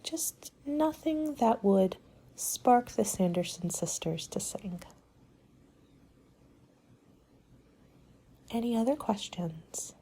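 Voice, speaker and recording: A woman playing a courteous, helpful party planner in a soft-spoken ASMR roleplay, with a measured, polite, advisory manner and soft, breathy delivery. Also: fairly high pitch, expressive, heavily acted. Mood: hushed, polite.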